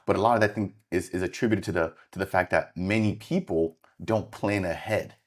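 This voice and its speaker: A man's voice: sits low, steady tone, brisk. A male interviewer asking long, conversational questions with lots of fillers. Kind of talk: podcast interview.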